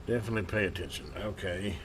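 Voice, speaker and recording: A man giving casual hands-on commentary as a hobbyist host, halting and muttering to himself as he works. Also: sits low, steady tone, background noise. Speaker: vintage radio hobbyist hosting a repair video.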